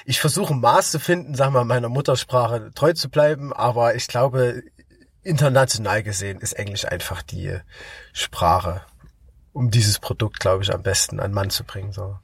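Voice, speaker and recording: A man's voice: medium pitch, moderate pace, gravelly. A ukulele builder talking enthusiastically at a festival, often restarting and repeating words as he answers interview questions. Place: outdoor music festival.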